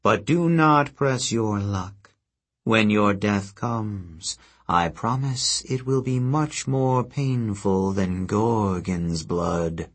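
Sleepy scary earth goddess, a guy voicing her, slow and husky. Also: on the low side. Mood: menacing. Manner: slow, drowsy, grudging.

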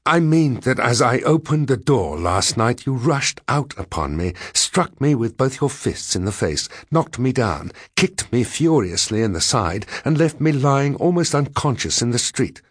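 Wounded college man, acted by a male reader: mumbling, formal, indignant, husky, voice pushed higher for the story. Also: very animated, unhurried, hardly any pauses, dry recording, studio quality. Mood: indignant, accusing. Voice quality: mumbling through swollen lips. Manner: stern, formal. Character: battered, indignant college man, accusing then cautious.

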